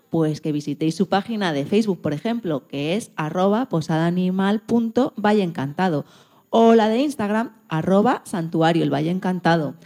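Woman presenter with a mellow voice. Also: lowish voice. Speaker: radio programme host. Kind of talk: scripted presenting and narration.

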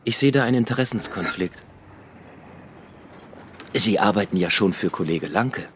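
An actor playing a cool, coercive police detective in a German radio drama, husky, terse and formally controlled. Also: male voice, on the low side, animated, moderate pace, noisy background, lightly acted. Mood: cool, guarded.

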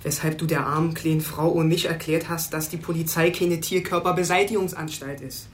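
An actor playing a brisk, dogged detective in a radio drama, informal and colloquial. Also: male voice, on the high side, level tone, slight background noise, natural voice. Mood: skeptical. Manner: offhand, colloquial. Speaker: police detective. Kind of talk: naturalistic radio drama dialogue.

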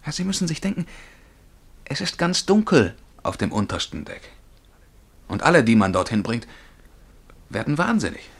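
An actor playing a dreamy, excitable young male bank clerk and would-be poet in a German radio play, fervent and absorbed as he describes things vividly. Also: medium pitch, moderate pace, vocal fry, pauses often. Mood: excited, insistent. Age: young adult.

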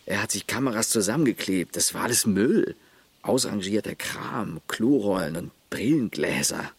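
A plain-spoken village man in a radio play, lower-pitched and husky, his voice rising and falling a lot as he lays out his points one by one. The sound is dry and close, with no room around it. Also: expressive, normal speed, dry recording. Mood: storytelling. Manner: terse, matter-of-fact, lists points. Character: Jo, village man caring for grandfather, blunt.

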